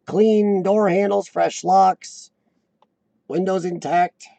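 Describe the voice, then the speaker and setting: A man in his forties hosting a casual live chat, with a high-pitched squeaky voice worn by coughing, who often clears his throat and restarts his sentences. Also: steady tone, slow pace, mellow, dry recording. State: voice worn from lung illness and coughing. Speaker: host of a live chat show. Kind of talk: casual live-stream chat reading viewer comments.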